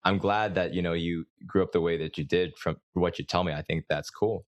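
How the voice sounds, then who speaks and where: Podcast host, male, very low voice, dry close sound, reflective and searching. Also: steady tone, fairly quick, no echo. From United States. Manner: reflective, thinking aloud with many restarts. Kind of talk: casual conversational interview.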